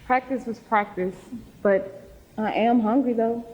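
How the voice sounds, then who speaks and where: A woman playing a determined, defensive high school girl athlete in a stage play. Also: steady tone, measured pace, breathy edge, muffled recording. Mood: casual. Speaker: stage actor. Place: theatre stage, recorded in the hall. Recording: echoey hall with long reverb.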